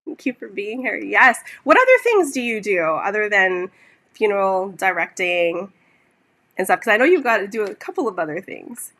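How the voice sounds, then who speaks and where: Bright-voiced woman host asking questions. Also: mid-range voice, very animated, moderate pace. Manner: friendly, hesitant questions. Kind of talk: casual interview talk.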